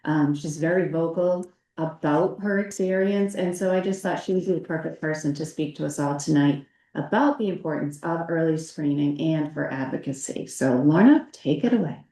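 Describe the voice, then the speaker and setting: Woman, lowish voice, introducing a guest, far from the mic, roomy echo. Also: moderate pace, few pauses, mellow. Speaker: meeting member introducing a guest. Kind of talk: introduction at a public meeting. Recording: distant, echoey room mic.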